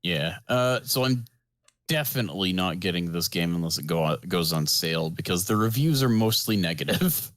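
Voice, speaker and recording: A man with a lowish voice chats casually as a podcast co-host. He is understated and a little hesitant, and the sound is very dry, free of room echo. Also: expressive, moderate pace, fairly bright tone. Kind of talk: casual chat.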